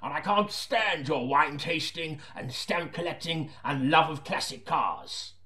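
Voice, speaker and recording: A man's voice: fairly high pitch, animated, a little slow, pitched above the performer's own voice. A man playing an exasperated, bellowing police chief constable who blusters and repeats himself in a comic detective audiobook. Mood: exasperated, furious. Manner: blustering, repetitive shouting.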